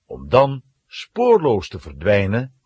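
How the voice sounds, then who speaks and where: A man's voice: on the low side, wildly swooping pitch, slow pace, darkish tone, breathy edge, muffled recording. A man narrating a children's audio drama as a dramatic storyteller.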